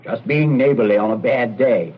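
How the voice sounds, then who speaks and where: An actor playing a bluff, chatty middle-aged gentleman captain with hearty, clipped manners, in a 1940s radio drama style. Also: medium pitch, normal speed, natural voice. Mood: breezy, jovial. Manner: hearty, clipped, old-fashioned gentlemanly phrasing. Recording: muffled, noisy 1940s radio recording.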